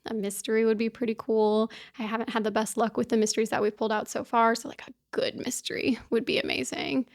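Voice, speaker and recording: Chatty woman, slightly high voice. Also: flat delivery, fast. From Kansas City, US. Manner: chatty, upbeat, lots of asides. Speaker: book vlogger. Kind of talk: casual chat to camera.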